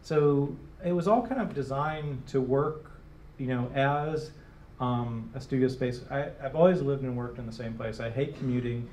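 A man's voice: medium pitch, moderate pace, darkish tone. Rambling man on a roomy handheld mic. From Detroit. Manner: rambling, many fillers and restarts. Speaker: interviewed designer. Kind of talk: casual onstage interview. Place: small gallery room, live audience. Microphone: handheld microphone. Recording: roomy, distant, faint hiss.

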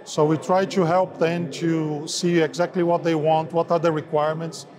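A man in his forties speaking haltingly with many fillers, interviewed at a trade fair on a lapel mic. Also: a little high, little pitch movement. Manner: halting, many filler sounds, slightly non-native phrasing. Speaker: sales head of an ink and coatings firm. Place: busy trade fair hall, indoors. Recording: crowd noise of a trade fair. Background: crowd chatter.

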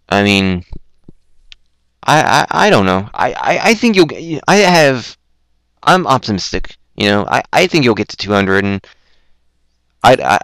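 Young male host, low smooth voice, rambling, self-deprecating, a little hoarse, dry close mic. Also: fairly bright tone, no echo. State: throat going hoarse. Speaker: podcast host. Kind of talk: casual chat on a Skype call.

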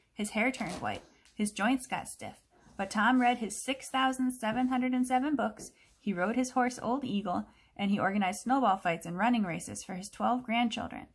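Female, teacher doing an expressive picture-book read-aloud, mid-pitched, clear explanatory delivery for kids. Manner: expressive, explanatory, pauses to question listeners.

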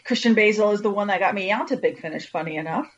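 A woman chatting casually as a podcast panelist, telling stories in an informal, animated way. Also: mid-range voice, fast talker. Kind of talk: casual chat. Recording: dull lo-fi sound.

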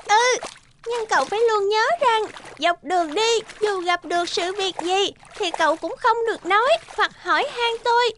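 A woman's voice: very high-pitched, normal speed, a bit bright, slight background noise. A woman voicing a friendly magical talking fish in a cartoon, childlike and earnest. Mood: eager, solemn.

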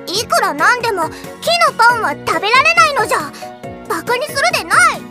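A woman's voice: very high voice, very animated, quick pace, over loud music. A woman playing a proud, eager young princess in an anime audio drama, speaking in quaint, old-fashioned phrases. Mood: indignant. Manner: archaic, haughty-cute speech endings.

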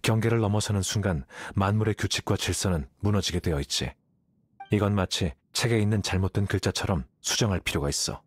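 Game role: rational young man of learning, low register, aloof, unhurried logic, matter-of-fact delivery. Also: husky. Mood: cool, firm. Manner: measured, detached, matter-of-fact. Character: aloof rational young male scholar, coolly self-assured. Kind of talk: anime game voice acting.

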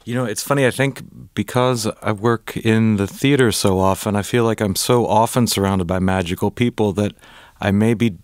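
A man's voice: fairly low pitch, moderate pace, darkish tone, no echo. A man who works in theater, chatting casually on a panel.

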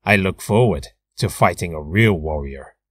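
A man's voice: low-pitched, animated, moderate pace, fairly bright tone, husky, dry recording, voice pushed down, exaggerated voice. A man voicing a confident, disciplined martial arts hero in a cool, self-assured way for a game character reel.

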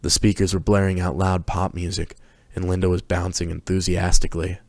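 Low-voiced man narrating a story. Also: little pitch movement, a little fast, gravelly, dry recording. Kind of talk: dramatic reading of prose fiction.

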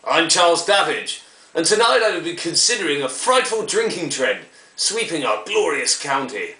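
A grandiose, old-fashioned broadcast presenter, acted by a man, sounds set back from the mic in an echoey space. The voice is fairly high and swings widely, with formal, disdainful delivery in a spoof current affairs programme. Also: sing-song, moderate pace, bright, forceful tone, far from the mic. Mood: pompous, grave. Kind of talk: mock vintage public broadcast announcing.

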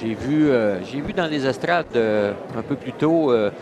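A man's voice: mid-range voice, lively intonation, unhurried. A man giving live sports commentary, hesitant and full of fillers and restarts. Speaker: color commentator. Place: shopping mall bowling event. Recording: loud crowd and noise behind.

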